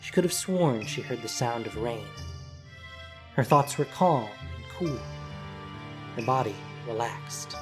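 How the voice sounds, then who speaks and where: Audio drama narrator, mid-pitched voice, scripted prose delivery, faint music underneath. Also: lively intonation, unhurried, pauses often.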